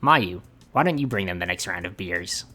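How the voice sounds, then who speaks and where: A man playing a calm, sly, protective bar boss in a fan-dubbed visual novel, speaking in a measured, polite way. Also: low-pitched, soft background music, full character voice. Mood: scheming.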